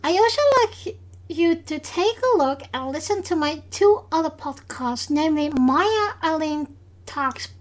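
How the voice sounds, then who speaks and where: Podcast host, woman, Dutch accent, high voice, unhurried, hesitant solo recap. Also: lively intonation. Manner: hesitant, halting, with frequent pauses.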